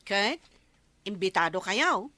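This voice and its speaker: A woman's voice: medium pitch, sing-song, takes it slowly, pushed and bright, no echo, full character voice. A woman playing a vain, touchy village wife with an Ilocano accent in a radio comedy, whiny and insistent. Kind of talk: radio comedy drama acting.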